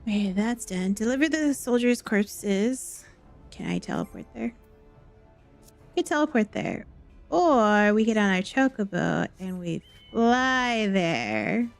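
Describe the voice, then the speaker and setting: A woman's voice: medium pitch, big pitch swings, slow pace, vocal fry. Playful woman streaming a game over music. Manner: playful muttering to self, short exclamations. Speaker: live streamer. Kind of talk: casual gameplay commentary, reading game dialogue aloud. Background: background music.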